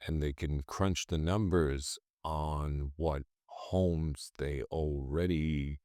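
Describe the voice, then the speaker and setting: A man's voice: very deep voice, steady tone, slow, warm tone, dry recording, studio quality. A man hosting a stock trading channel, rambling through his trades and correcting himself as he goes, a bit congested from a cold. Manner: rambling, self-correcting, thinks aloud. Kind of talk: casual screen-share commentary on trades.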